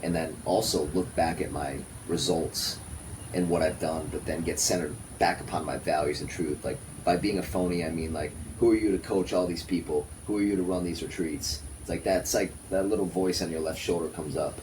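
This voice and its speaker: A man speaking as a podcast guest in a casual, reflective way, thoughtful and measured in short phrases. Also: deep voice, fairly even intonation. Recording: noisy room ambience.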